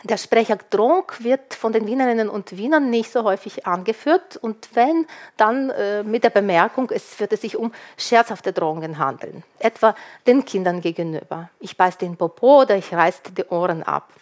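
Woman giving a measured lecture. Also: medium pitch, animated, a little mellow. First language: Ukrainian. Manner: measured and explanatory, with occasional hesitation fillers. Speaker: linguist presenting survey research. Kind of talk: prepared lecture talk.

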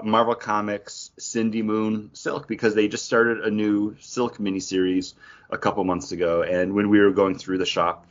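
A man's voice: lowish voice, muffled recording. A man co-hosting a podcast, chatting casually about comic books. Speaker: podcast co-host. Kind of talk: casual comic book chat.

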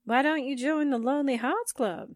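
A woman's voice: sits high, moderate pace, dry recording, studio quality, natural voice. A woman acting a sardonic, wisecracking sidekick to a reporter in a 1940s-style radio drama. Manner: wry, wisecracking.

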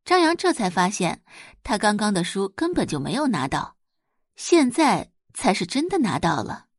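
A woman's voice: medium pitch, big pitch swings, moderate pace, dry recording, clean studio sound. A woman narrating a scripted audiobook story as its storyteller.

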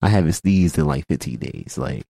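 A congested man hosting a casual podcast chat, halting, repeating words and filling gaps with fillers. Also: very deep voice, animated, vocal fry, dark tone, dry recording. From Philadelphia. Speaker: podcast host. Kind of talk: casual radio-style chat.

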